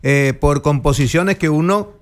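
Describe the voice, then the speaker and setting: A man's voice: on the high side, measured pace. A man with an Argentine accent hosting a casual, bantering radio interview in Spanish. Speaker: radio host.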